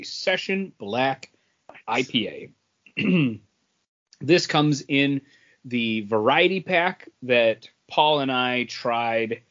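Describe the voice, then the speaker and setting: A man co-hosting a casual podcast, reviewing in a halting, measured way. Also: on the high side, a bit bright. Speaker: podcast co-host. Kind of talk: casual beer review chat. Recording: dull lo-fi audio.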